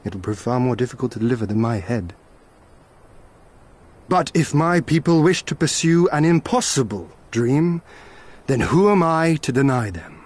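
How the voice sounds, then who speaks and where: An actor playing a wry, resolute young samurai lord in a fantasy game, with formal, archaic, courtly speech. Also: male voice, mid-range voice, animated, moderate pace, a little mellow, close to an everyday voice. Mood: wry, resigned. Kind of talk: fantasy game cutscene acting.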